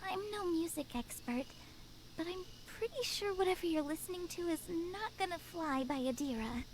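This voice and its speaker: Very high-voiced, meek young woman. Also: animated, normal speed, a little hiss or hum. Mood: timid concern. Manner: meek, careful, peacemaking. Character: polite, dutiful, earnest young woman at a religious retreat. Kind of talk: dramatic visual novel voice acting.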